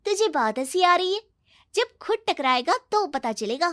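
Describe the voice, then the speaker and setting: Woman acting high, squealing, touchy young woman. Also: very animated, moderate pace, a bit bright, exaggerated voice. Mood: pained, annoyed.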